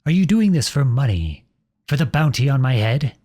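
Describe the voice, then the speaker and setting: A man playing a protective, noble outlaw prince in an earnest, dramatic ASMR audio roleplay, with a soft, husky voice close to the mic. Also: medium pitch, very animated, moderate pace, no room sound. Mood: betrayed, angry. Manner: earnest, dramatic, addresses unseen listeners directly. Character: outlawed young prince, protective, noble, devoted to his beloved. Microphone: close studio-style microphone, dry.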